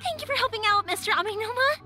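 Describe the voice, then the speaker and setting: A woman's voice: extremely high pitch, animated, racing, over music. A woman voicing a cheerful, friendly young fireworks maker in a video game, chatty and bubbly. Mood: friendly, upbeat. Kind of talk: scripted video game voice acting.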